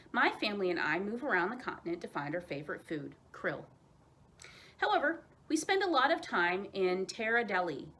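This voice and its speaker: A woman's voice: medium pitch, a bit bright, slight background noise. A woman voicing a friendly, eager female penguin pen pal in a storybook, reading out a simple, polite letter.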